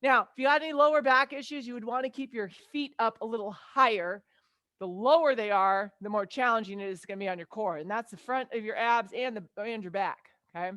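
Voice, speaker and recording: A woman's voice: a little high, fairly quick, a bit bright, dry recording. Upbeat woman fitness coach, Texas twang. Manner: upbeat encouraging coaching with countdowns. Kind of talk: live workout class cueing.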